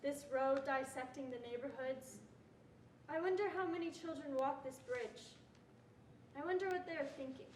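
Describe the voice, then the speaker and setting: A woman's voice: high voice, moderate pace, natural voice. A young woman, a student narrator reading a scripted story for readers theatre. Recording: echoey, distant mic, faint noise.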